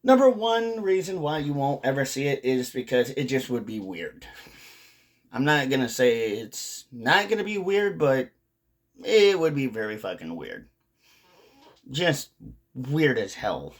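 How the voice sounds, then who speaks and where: A man giving casual, rambling commentary to viewers, with drawn-out phrasing, pauses and some casual swearing. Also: medium pitch, sing-song. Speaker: video host, hobby commentator.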